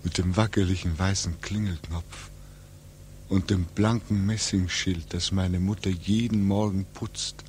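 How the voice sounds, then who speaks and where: An actor playing a homesick, brooding young man back from war in a 1940s radio drama, with halting, repetitive, anguished speech. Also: low-pitched, steady tone, a little mellow, a little hiss or hum. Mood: hushed, hopeful. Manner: halting, repetitive, swinging into agitated questioning. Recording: 1940s radio recording, music behind.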